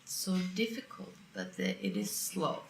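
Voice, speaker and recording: A man talks casually and very slowly, with a creaky, bright voice. It is recorded in an echoey room. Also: high voice, wildly swooping pitch. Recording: echoey room, faint noise.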